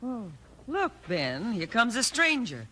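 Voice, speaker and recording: An anxious frontier wife from a vintage radio western. Her voice is very sharp and bright. The old recording has slight noise. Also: fairly high pitch, huge pitch swings, takes it slowly, exaggerated voice. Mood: worried. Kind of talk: 1950s radio western acting.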